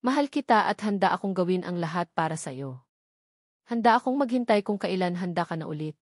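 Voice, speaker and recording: A woman's voice: on the low side, lively intonation, a little fast, dry recording, studio quality. A Filipino woman voicing a lovelorn, protective young man in a romance audiobook, earnest and pleading.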